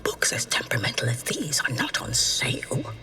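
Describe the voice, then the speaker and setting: A woman playing a haughty, secretive keeper of arcane books in a video game, hushed and coolly condescending. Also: very deep voice, huge pitch swings, normal speed, slightly rough, in a whisper, far from the mic, faint music behind, exaggerated voice. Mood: disdainful, dismissive. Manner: hushed, disdainful, clipped politeness. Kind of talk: dramatic video game acting.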